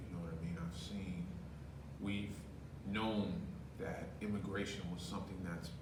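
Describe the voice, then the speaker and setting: Man from california, very low voice, podcast guest, measured and explanatory, echoey room, noisy background. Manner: measured and explanatory, with occasional hearty laughter. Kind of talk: casual political chat. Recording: echoey room, mic far off, background noise.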